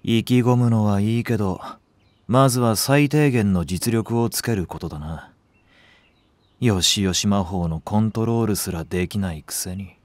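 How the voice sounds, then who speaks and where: A man's voice: fairly low pitch, normal speed, a little mellow, no room sound, natural voice. A man voicing a lazy, sardonic young nursery teacher in a voice drama, languid and teasing. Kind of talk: Japanese voice drama acting.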